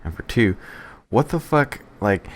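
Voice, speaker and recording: Deep-voiced man in casual banter. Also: animated, unhurried. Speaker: podcast co-host.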